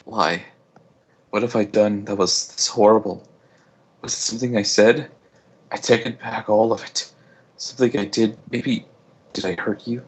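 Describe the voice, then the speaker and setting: A man voicing a heartbroken, lonely young sister in a story, pleading in halting phrases. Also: fairly low pitch, fairly even intonation, breathy edge. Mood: anguished self-blame.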